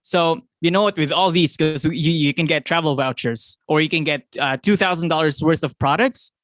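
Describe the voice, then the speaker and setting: A young man with a Filipino accent giving an excited, fast motivational talk to his sales team, often asking "right?" and laughing. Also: on the high side, no room sound. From Philippines. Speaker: network marketing team leader.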